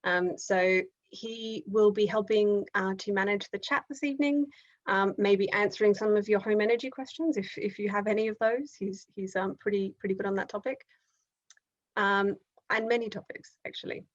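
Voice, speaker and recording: A woman's voice: medium pitch, steady tone, moderate pace, lo-fi sound. A woman with an Australian accent hosting an online event on a video call, talking with frequent ums and restarts. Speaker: event host.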